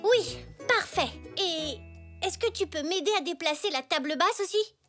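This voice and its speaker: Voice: very high-pitched, lively intonation, normal speed, sharp tone, soft background music, full character voice. A performer voicing an eager, curious young zebra boy who talks earnestly in a children's cartoon. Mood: busy, cheerful. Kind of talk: children's cartoon dub acting.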